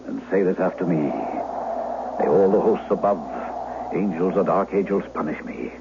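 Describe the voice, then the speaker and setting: An actor playing a menacing, commanding duke in an old-time radio drama, with imperious, formal archaic speech. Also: male voice, deep voice, unhurried. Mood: solemn, commanding. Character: menacing, commanding English duke intimidating a peasant boy. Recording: dull, noisy vintage radio broadcast.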